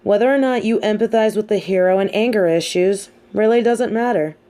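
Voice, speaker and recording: A woman playing a sardonic, teasing supervillain with a hidden soft side, talking straight to the listener in an audio roleplay with theatrical, mocking emphasis. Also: medium pitch, brisk, lightly acted. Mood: philosophical, wry. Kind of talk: scripted audio roleplay monologue spoken to the listener.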